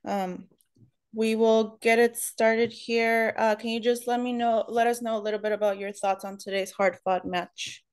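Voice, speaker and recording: A woman runs a press conference, handing the floor to reporters in a brisk, polite, businesslike way. Her voice is fairly high and smooth, with a clean, resonant tone. She keeps an even, level delivery throughout.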